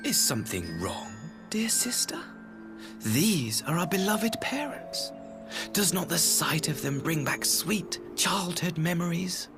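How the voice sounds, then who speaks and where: A young imperial envoy, all silky formality and cruel mockery. His pitch sweeps dramatically up and down in theatrical game cutscene style, over clearly audible music. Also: sing-song, slow, exaggerated voice. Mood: mocking. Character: smooth, scheming young imperial ambassador, cruelly mocking brother. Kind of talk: video game cutscene acting.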